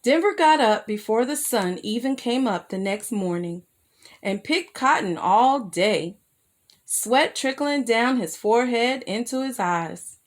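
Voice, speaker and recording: Female storyteller, higher-pitched, unhurried, expressive read-aloud for young children. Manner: addresses young listeners with simple questions. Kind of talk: read-aloud children's picture book narration with asides.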